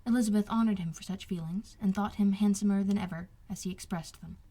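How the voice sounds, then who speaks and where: Husky-voiced woman narrating a novel. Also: medium pitch, quick pace, mellow. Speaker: amateur audiobook reader. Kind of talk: scripted literary narration.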